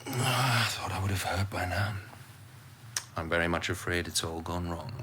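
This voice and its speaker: An actor playing an ambitious, guarded British politician in a naturalistic radio drama, low-key and wry. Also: male voice, moderate pace, pushed and bright, slight background noise.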